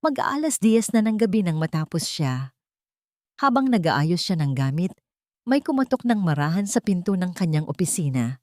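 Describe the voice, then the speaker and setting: Expressive low-voiced Filipino woman storyteller. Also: moderate pace, no echo, clean studio sound. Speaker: storyteller, narrator. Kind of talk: dramatic scripted story narration.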